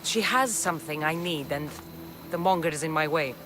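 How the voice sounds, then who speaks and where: A woman's voice: deep voice, moderate pace, natural voice. A woman playing a hardened exiled Spartan mercenary in a video game, with a blunt, firm delivery. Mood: blunt, resolute. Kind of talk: video game dramatic dialogue. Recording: music behind the voice.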